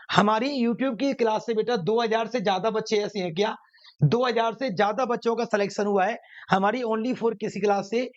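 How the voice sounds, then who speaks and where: A man teaching an exam coaching class, emphatically repeating phrases and asking his own rhetorical questions. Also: high-pitched. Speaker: maths teacher running online exam classes. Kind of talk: exam coaching lecture to students. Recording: dull, lo-fi sound.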